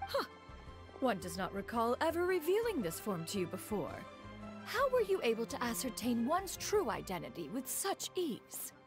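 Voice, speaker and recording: A woman's voice: fairly high pitch, wildly swooping pitch, normal speed, over music. A woman voicing a proud, aloof ancient female immortal in a video game, speaking in a stately, archaic manner. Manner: archaic, stately, refers to herself as one.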